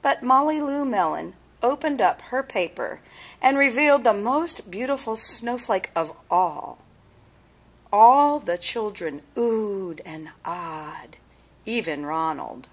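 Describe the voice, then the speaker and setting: A woman reading a children's story aloud with deliberate, expressive phrasing and dramatic pauses. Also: mid-range voice, slightly rough. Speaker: storybook reader.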